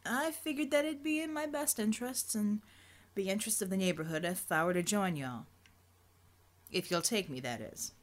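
Audiobook role: guarded, plain-talking man, read by a woman, low-key, wary and sparing with words. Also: normal speed, close to an everyday voice. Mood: tentative. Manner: laconic, hesitant, low-key.

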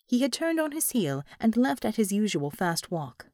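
A woman's voice: medium pitch, sing-song, normal speed, darkish tone, no room sound, clean studio sound. A woman reading scripted fiction as an audiobook narrator.

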